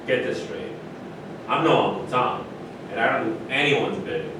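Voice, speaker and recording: A man's voice: animated, normal speed, lightly acted. A man at a staged reading, playing a proud, self-assured retired sports star who argues his case. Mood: indignant. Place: live staged reading in a room, distant microphone. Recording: echoey room from far off, rumbling noise.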